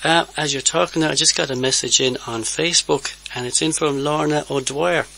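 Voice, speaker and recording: A man's voice: on the high side, fairly quick, creaky, sharp tone. A man hosting a radio interview, easygoing and fond of folksy sayings. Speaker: radio show host.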